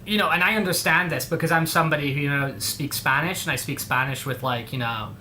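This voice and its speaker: A man's voice: fairly high pitch, fast talker, bright, forceful tone, a little hiss or hum, rough recording. A man with an English accent hosting a livestream, chatting casually in a rambling, slangy way. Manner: rambling, heavy on slang like dude and bro. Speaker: livestream host.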